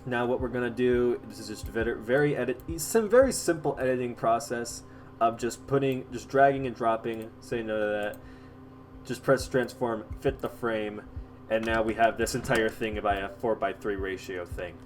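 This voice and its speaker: A man hosting a casual software tutorial, explaining step by step in an informal, halting way. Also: medium pitch, fairly even intonation, soft background music. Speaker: tutorial host.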